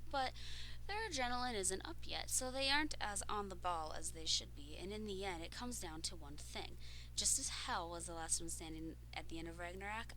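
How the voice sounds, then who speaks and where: Cocky, ruthless wrestling goddess acted by a woman, lowish bright voice, self-assured promo for an audio roleplay, faint background noise. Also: poor audio. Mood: boastful. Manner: boastful, self-assured, emphatic. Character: cocky, ruthless female pro wrestler calling herself a goddess. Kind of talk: in-character wrestling promo monologue.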